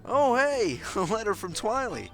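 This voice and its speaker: A man's voice: high-pitched, big pitch swings, unhurried, a bit bright, voice pushed up, exaggerated voice, rough recording. A man voicing an upbeat, easygoing stallion prince in a story reading, casual and enthusiastic, with music behind.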